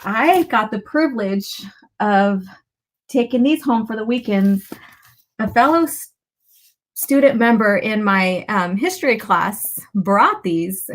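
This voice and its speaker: A woman with a slightly raspy voice hosting a casual live chat, talking to viewers in a chatty way with pauses and asides. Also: mid-range voice, lively intonation, rough recording. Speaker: livestream host.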